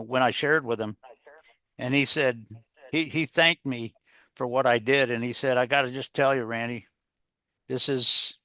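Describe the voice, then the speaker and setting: A man's voice: medium pitch, pushed and bright, dry recording. A man giving conversational advice as an interview guest, rambling, circling back over phrases and posing rhetorical questions. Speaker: interview guest, leadership author and coach.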